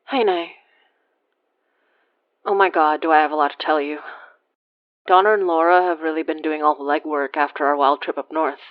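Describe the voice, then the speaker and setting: An actress voices a chatty, teasing woman in a podcast drama. She talks casually in a slightly low voice, recorded dry and a bit dull. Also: steady tone, moderate pace, long pauses, no echo, lightly acted. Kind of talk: casual monologue, audio drama.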